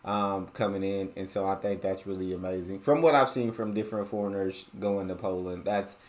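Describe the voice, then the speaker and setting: A man's voice: sits low, fairly even intonation, a little fast. An American man giving casual, colloquial commentary as a reaction host, repeating and rephrasing his points. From United States.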